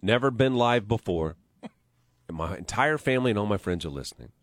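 A man's voice: fairly low pitch, expressive, fairly quick, a little hiss or hum. A middle-aged man hosting a radio morning show, bantering casually and telling stories with jokes that trail off. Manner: joking, storytelling, trails off quietly. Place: radio studio. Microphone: studio microphone.

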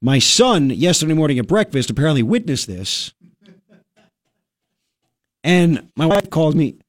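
A male radio host telling a story in casual talk-radio style, animated and full of quick asides. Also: a little high, no room sound.

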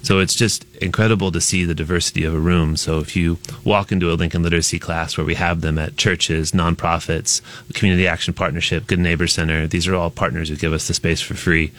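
A man with a notably low voice speaks as a guest in a radio studio. He is upbeat and informative, a nonprofit director laying out his numbers with ease. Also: flat delivery, fast, few pauses, fairly bright tone. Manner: upbeat, informative, rattles off figures. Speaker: executive director of a literacy nonprofit.

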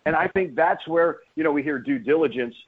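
A man's voice: fairly high pitch, brisk, breathy edge. Male sports radio guest, play-by-play type, emphatic and repetitive for stress, slightly lo-fi sound. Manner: emphatic, repeats phrases for stress. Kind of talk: animated sports radio interview talk.

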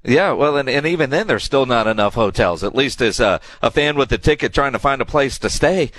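A man's voice: medium pitch, animated, fairly quick, a bit bright. A man hosting sports radio in an easygoing, conversational way. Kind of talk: casual radio interview and sponsor reads.

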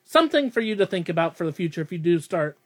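A man's voice: sits high, big pitch swings, fast talker. A young man hosting a casual podcast, rambling and self-deprecating, laughing often. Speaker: podcast host.

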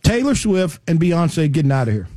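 A man's voice: fairly high pitch, big pitch swings, normal speed, mellow. A man hosting a talk radio show, teasing his co-hosts in a playful way. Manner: teasing, playful, laughs a lot. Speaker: radio host. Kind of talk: casual talk radio banter.

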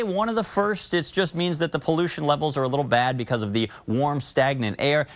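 Male TV meteorologist, conversational, walks viewers through the map. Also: medium pitch. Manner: conversational, explanatory. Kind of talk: weather forecast.

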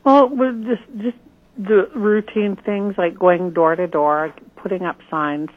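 A woman's voice: mid-range voice, fairly even intonation, slow, breathy edge. A middle-aged woman from northern Canada, running for office and answering interview questions over the phone in an earnest, plain-spoken way, often restarting her sentences. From northern British Columbia, Canada. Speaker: political candidate. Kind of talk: radio interview. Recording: tinny phone line.

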